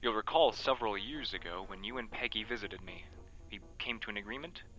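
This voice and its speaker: A man's voice: fairly low pitch, fairly quick, sharp tone, low-quality recording. An actor playing a formal, precise, commanding man with sinister courtesy in an audio drama. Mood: stern, menacing. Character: Cyrus, courteous, formal, sinister male benefactor. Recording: faint music behind.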